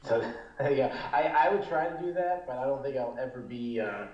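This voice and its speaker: A man's voice: mid-range voice, expressive, moderate pace. A man with a Rhode Island accent, a guest chatting casually on a podcast in a rambling way with many fillers and restarts. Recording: echoey, dull lo-fi remote audio.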